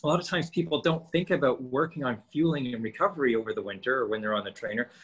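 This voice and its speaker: A man's voice: medium pitch, fast talker, breathy edge. A man in his fifties co-hosting a casual podcast, explaining training and nutrition with lots of rhetorical questions. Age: 50s.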